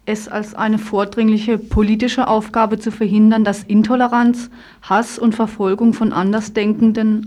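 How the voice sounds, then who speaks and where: Even-toned woman hosting a radio interview. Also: mid-range voice. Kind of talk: live political radio interview, reading out and questioning.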